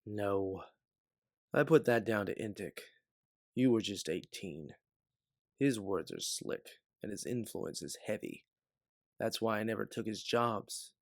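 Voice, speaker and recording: A man playing an older, protective bounty hunter mentor in an audio drama, speaking in an earnest, measured way. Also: lowish voice, no room sound, studio quality. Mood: confessional. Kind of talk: dramatic audio fiction acting.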